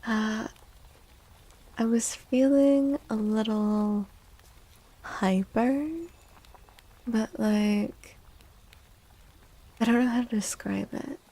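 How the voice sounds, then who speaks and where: A woman livestream host chatting in a soft, gentle ASMR style close to the mic, drifting now and then toward a breathy whisper. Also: on the high side, steady tone, extremely slow, long pauses, low-quality recording. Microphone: close microphone.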